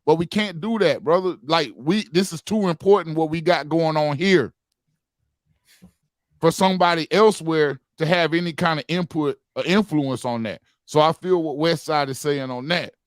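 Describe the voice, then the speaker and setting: A man's voice: fairly high pitch, normal speed, dry recording, studio quality. A man in his forties talking earnestly in a heated group podcast discussion, repeating phrases for emphasis. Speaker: podcast panelist.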